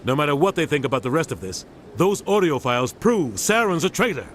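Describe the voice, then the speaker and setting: Animated, serious soldier. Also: male voice, on the high side, fairly quick, a little hiss or hum. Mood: serious, convinced. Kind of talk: video game voice acting. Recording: game dialogue over loud music.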